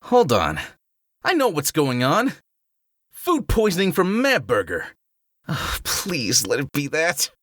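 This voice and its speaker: Cartoon hedgehog hero, male actor, youngish, breezy and slangy, higher register, hugely animated swings. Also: takes it slowly, long pauses, no echo, studio quality, exaggerated voice. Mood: bewildered, wry. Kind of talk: fan-made audio drama acting.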